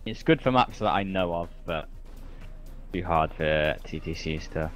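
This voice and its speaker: Excitable man gaming, lively pitch. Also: unhurried, soft background music. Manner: excitable reactions, teasing. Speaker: co-host and player. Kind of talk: casual chat while gaming.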